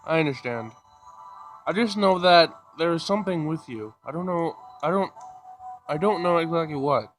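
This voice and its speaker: A man voicing a shy, earnest young man in a visual novel, speaking in a halting, hesitant way. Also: a little high, lively intonation, faint music behind, close to an everyday voice, rough recording.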